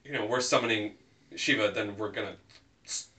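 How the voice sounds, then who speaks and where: A man's voice: fairly low pitch, brisk, sharp tone, recorded from a distance. A man co-hosting a casual podcast, rambling with many fillers and restarts. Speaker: podcast co-host. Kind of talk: casual chat.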